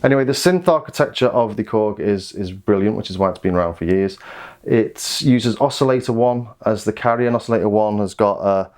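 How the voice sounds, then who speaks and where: British guy chatting through a gear review, kinda low voice, rambles a bit. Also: a little fast, a little mellow. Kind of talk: casual product overview.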